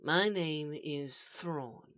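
A woman voicing a calm, eerie male stranger in an audio story, speaking softly, slowly and with polite patience. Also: low voice, full character voice. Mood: slow, grave. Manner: soft, slow, even and reasonable. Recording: echoey, slightly dull home recording.

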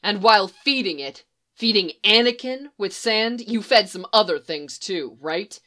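A woman's voice: mid-range voice, expressive, unhurried, sharp tone. A woman voicing a grim clone commander. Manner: grim, clipped orders. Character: stern male clone soldier commander.

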